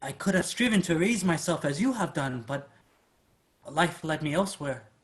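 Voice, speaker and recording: A male actor plays a rough, proud drifter in a staged reading. His voice is a bit higher than most men's. He speaks plainly, like a stubborn elder brother. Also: animated, normal speed, lightly acted. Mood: heartfelt, resolute. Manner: plain-spoken, heartfelt, defiant when provoked. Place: online virtual staged reading.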